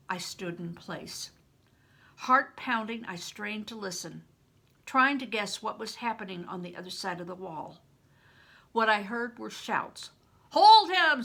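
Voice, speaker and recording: Bright, creaky older woman reading a story. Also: medium pitch. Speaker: retired reading teacher, storyteller. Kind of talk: expressive audiobook-style reading of a children's novel.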